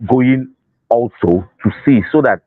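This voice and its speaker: A man's voice: medium pitch, unhurried, no room sound, phone-quality sound. A man with a Nigerian accent explaining things as an interview guest, unscripted, often repeating and rephrasing his phrases. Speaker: interview guest, festival organiser.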